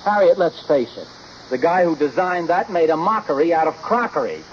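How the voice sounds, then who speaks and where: A man's voice: on the high side, expressive, fairly quick, lightly acted. A man playing an exasperated, sensible husband in an old radio sitcom, hesitant and drily joking. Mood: exasperated pleading. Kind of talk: 1950s radio sitcom acting. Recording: muffled, noisy old radio recording.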